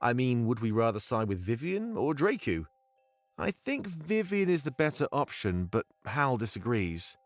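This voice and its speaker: A man's voice: mid-range voice, big pitch swings, moderate pace, no echo, faint music behind. A man voicing a persuasive, wry rebel companion in a fantasy visual novel, casual and teasing. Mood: probing, casual. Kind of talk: visual novel game voice acting.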